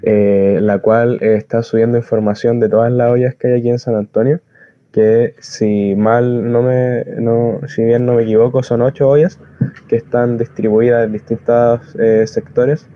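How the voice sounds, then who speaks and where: Chilean male community volunteer, halting informal delivery, little melodic movement, dry and slightly muffled lo-fi audio. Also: mid-range voice, level tone, no room sound. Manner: halting, searching for words, earnest. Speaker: community soup kitchen volunteer. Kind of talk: informal spoken explanation of activism. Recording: dull lo-fi close recording.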